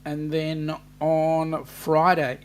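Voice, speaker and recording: An Australian man chats through a live stream, taking his time and filling pauses with ums as he reads out comments. His voice sits a little on the high side for a man, and he speaks at a slow, easy pace. A faint electrical hum runs underneath. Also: completely flat delivery. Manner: unhurried, chatty, many ums, reads comments aloud. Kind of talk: casual live-stream chat reading viewer comments.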